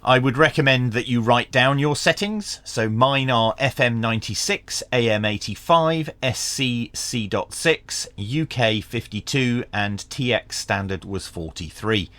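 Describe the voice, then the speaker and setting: A man giving a hands-on tech review in a measured, matter-of-fact way. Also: medium pitch, bright, forceful tone, no echo. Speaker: product reviewer.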